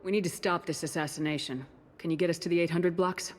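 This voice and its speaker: An actress playing a tough, no-nonsense military agent in a video game, brisk and authoritative. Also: female voice, on the low side, steady tone, lightly acted. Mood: businesslike.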